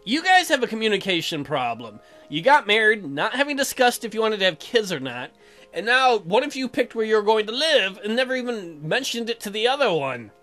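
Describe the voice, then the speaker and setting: A man's voice: high voice, big pitch swings, fairly quick, pushed and bright, faint music behind. A man doing crude, sarcastic joking commentary while reading a visual novel aloud as a let's player.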